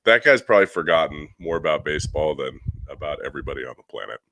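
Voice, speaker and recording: A man's voice: extremely low pitch, animated, fast talker, bright, forceful tone. A man in his forties co-hosting a podcast while under the weather, telling stories in a laid-back way. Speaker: co-host.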